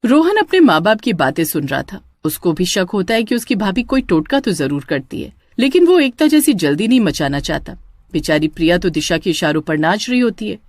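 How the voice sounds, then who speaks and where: A woman storyteller reading a scripted tale. Her delivery is lively in pitch, with a medium-high female voice and a close, dry sound. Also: no echo.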